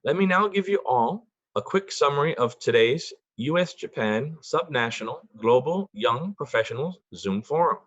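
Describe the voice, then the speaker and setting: A middle-aged man from Boston giving prepared remarks at an online forum, speaking deliberately slowly and clearly. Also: on the high side, animated, fairly bright tone, no echo. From Boston, United States. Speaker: foundation board member presenting. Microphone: video call. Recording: dull, dry video-call audio.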